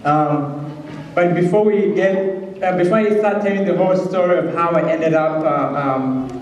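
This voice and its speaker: A man from Rwanda with a Rwandan accent gives an earnest, halting personal testimony as a guest speaker in church. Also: fairly high pitch, muffled recording. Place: large church hall, speaking to an audience. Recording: very echoey hall, distant mic, faint noise.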